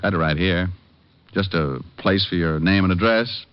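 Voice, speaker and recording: A man's voice: sits low, normal speed, smooth, close to an everyday voice. A man playing a wisecracking private eye. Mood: joking. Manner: dry wisecracks, laconic. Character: wisecracking tough private detective, adult man. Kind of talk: 1950s radio drama acting and narration. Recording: dull old radio recording.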